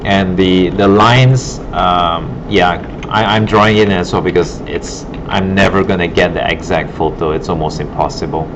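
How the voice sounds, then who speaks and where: A low, deep male voice walks a class through a demo in a casual, think-aloud way. The sound is dull and muffled, with clear background hum from the classroom. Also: steady tone, moderate pace, few pauses. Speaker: digital art instructor. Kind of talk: informal classroom demonstration, narrating while working. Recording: muffled, broken audio with loud room hum.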